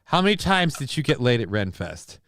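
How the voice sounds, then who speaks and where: Smooth-voiced joking stream host. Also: male voice, medium pitch, sing-song, fairly quick, fairly bright tone, no echo, clean studio sound. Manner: profane, joking, reads viewer comments aloud; mock-grand war speech delivery. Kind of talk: casual live stream chat. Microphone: desk microphone.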